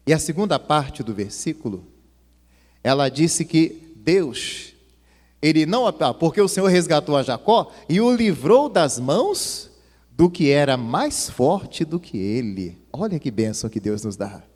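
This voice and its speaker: A man's voice: fairly high pitch, sing-song, a little slow, darkish tone. A male pastor preaching an impassioned sermon, his voice swelling and dropping. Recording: long hall reverb.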